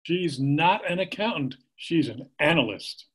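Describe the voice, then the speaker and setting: A man's voice: on the high side, animated, a little slow, slightly rough. A middle-aged actor playing a dad who gets defensive and indignant about his past, on a video call.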